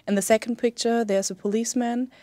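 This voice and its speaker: A woman's voice: fairly high pitch, steady tone, quick pace, mellow, no echo. Young woman, German accent, hesitant, filler-heavy, dry close recording. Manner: hesitant, many ums. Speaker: exam candidate. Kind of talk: spoken exam answers.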